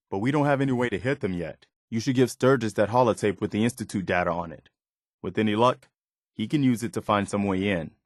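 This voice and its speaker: Guy voicing a sincere militia officer from a video game, lowish voice, principled and a bit weary, dry close sound. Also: no echo, natural voice. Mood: grave, determined. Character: earnest, principled militia officer, adult man, loyal but weary. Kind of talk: video game character dialogue.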